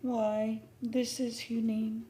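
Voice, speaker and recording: An actress playing a grieving, lovelorn woman in a vintage radio drama, dreamy and halting in her delivery. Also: fairly high pitch, flat delivery, dark tone, slight background noise, low-quality recording. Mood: dazed wonder. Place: theater stage, performed live for radio.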